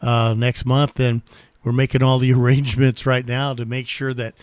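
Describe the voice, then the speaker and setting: A man's voice: mid-range voice, flat delivery, moderate pace, smooth, no room sound. A man in his sixties with an American accent, chatting casually as an amateur radio operator. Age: 60s. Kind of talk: casual ham radio chat.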